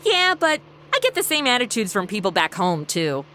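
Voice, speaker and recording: A woman's voice: mid-range voice, huge pitch swings, normal speed, pushed and bright. A woman playing a brash, flippant young woman from the future in a comedy audio drama, with breezy, sarcastic modern slang. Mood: casual, wry.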